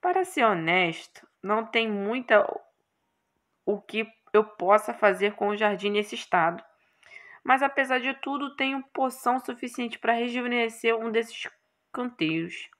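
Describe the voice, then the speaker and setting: A woman voicing a calm, literal-minded horned male magician in a game, speaking in a dry, measured way. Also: a little high.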